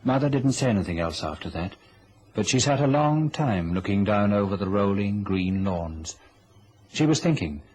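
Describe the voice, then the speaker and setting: An actor playing a wary, questioning young man in an old radio drama, speaking in a restrained, measured manner. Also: fairly low pitch, animated, darkish tone, a little hiss or hum, lightly acted, rough recording. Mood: reflective narration. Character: wary, questioning grown son of a domineering mother. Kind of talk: old-time radio drama acting and narration.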